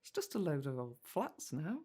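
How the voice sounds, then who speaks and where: An actress playing a wistful, caring middle-aged British daughter in a naturalistic audio drama, speaking gently and reflectively. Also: female voice, deep voice, very animated, normal speed, breathy edge, soft background music, full character voice.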